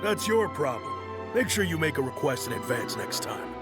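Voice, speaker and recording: Creaky, irritable gate guard. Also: male voice, mid-range voice, very animated, normal speed, over loud music. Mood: dismissive. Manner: curt, exasperated. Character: irritable, jaded male city gate guard. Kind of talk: animated video game voice acting.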